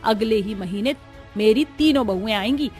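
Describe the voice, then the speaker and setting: Woman acting a high-voiced, bossy, scolding mother-in-law. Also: normal speed, a little mellow, soft background music, lightly acted. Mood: indignant. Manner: scolding, sarcastic, dramatic. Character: bossy, disapproving middle-aged Indian mother-in-law. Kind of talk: Hindi cartoon family drama voice acting.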